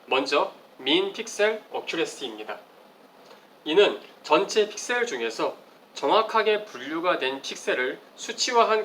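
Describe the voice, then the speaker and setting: A man's voice: sits high, a bit bright, low-quality recording. A man giving a formal, explanatory research presentation with slides, as at an academic conference. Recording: clearly audible background noise.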